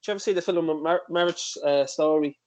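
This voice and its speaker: A woman's voice: fairly low pitch, fast talker, a little mellow. A woman in casual chat, giving her comments as a cast member after a read.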